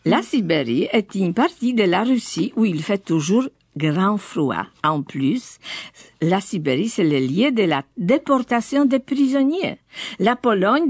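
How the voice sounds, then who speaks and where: A woman's voice: mid-range voice, darkish tone, slightly rough, no room sound, clean studio sound. Older woman, careful tinged French. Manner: measured, careful, occasional hesitations. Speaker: radio studio guest. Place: studio.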